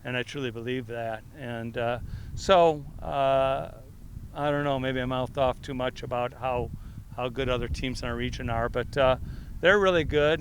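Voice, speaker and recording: A middle-aged football coach with a loud voice fields questions at a media session. He rambles and restarts, leaning on fillers, his pitch sitting mid-range for a man. A faint hiss runs under the recording. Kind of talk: press conference answers.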